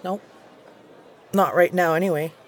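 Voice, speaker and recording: A woman's voice: fairly low pitch, steady tone, a little slow, a faint crowd, close to an everyday voice. A woman playing a guarded, prickly, grieving young woman in a naturalistic audio drama, curt and deflecting with her old friends. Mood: cold, guarded.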